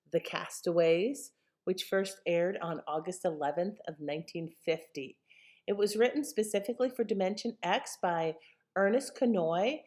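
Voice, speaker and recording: A woman's voice: fairly low pitch, a little mellow. A woman casually commenting on an old radio episode she has presented, rambling a little with fillers.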